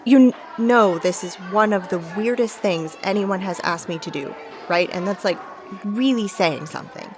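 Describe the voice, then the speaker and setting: A woman playing a guarded, unimpressed minder of kids in an audio drama, wry, reluctant and halting. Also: medium pitch, faint music behind, lightly acted.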